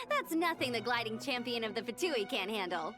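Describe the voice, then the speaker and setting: A woman's voice: on the high side, sing-song, unhurried. A woman playing a plucky, cheerful, athletic young scout in an anime game, with a bold, spirited delivery. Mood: playful, confident. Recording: voice under loud game music.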